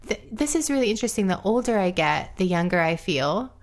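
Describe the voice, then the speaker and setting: A woman's voice: medium pitch, sing-song, fairly quick. Reflective middle-aged woman, rambling. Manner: reflective, rambling, pace shifting. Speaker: podcast guest and co-host. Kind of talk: casual podcast chat.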